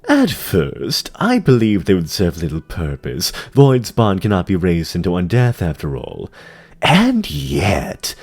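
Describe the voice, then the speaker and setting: A man voicing an imperious, scheming demon dreadlord in a fantasy audio drama, speaking in a measured, mockingly deferential way. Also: low voice, breathy edge, full character voice.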